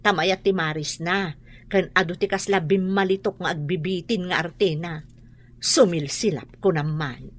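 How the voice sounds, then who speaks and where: A woman's voice: on the low side, normal speed, lightly acted. An actress playing a vain, boastful young mother in an Ilocano radio drama. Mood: proud, delighted. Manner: boastful, haughty.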